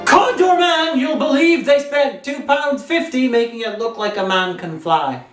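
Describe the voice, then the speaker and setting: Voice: high voice, lively intonation, measured pace, music in the background. A comedy film review host with a sarcastic, theatrical, mock-imperious way of delivering scripted commentary. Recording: roomy echo, faint background noise.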